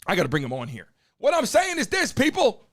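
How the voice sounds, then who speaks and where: A man hosting a live stream from his studio, talking loudly and bluntly and hyping up his chat. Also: extremely high pitch, wildly swooping pitch, fairly quick. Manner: hype-man shouting at the chat. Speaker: live-stream show host. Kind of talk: loud, brash live-stream commentary. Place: home studio in Miami.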